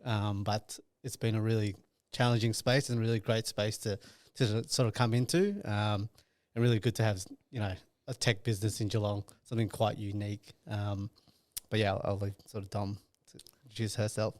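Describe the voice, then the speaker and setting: Male podcast guest, fairly deep voice, relaxed phrasing in casual interview talk, very dry sound. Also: normal speed, dry recording. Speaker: company sales and partnerships head, podcast guest.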